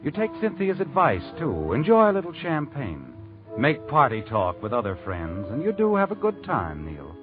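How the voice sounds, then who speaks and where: Insinuating radio mystery narrator, tinny. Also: male voice, medium pitch, huge pitch swings, moderate pace. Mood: suspenseful. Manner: knowing, insinuating, second-person telling. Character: knowing, ominous male storyteller addressing the protagonist. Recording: tinny old radio recording with music.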